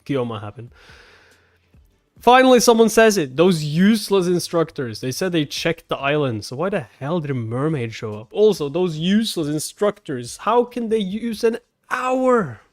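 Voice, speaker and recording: Animated high-pitched man reacting over music. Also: normal speed. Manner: animated, exclamatory, swearing, mockingly incredulous. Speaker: reaction host reading a webtoon aloud. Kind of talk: casual live reaction and read-along.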